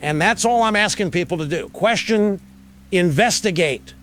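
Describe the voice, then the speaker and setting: A man's voice: high-pitched, animated, normal speed, fairly bright tone, slight background noise. A man playing an earnest, distrustful skeptic, speaking insistently as a talk show guest in an audio drama.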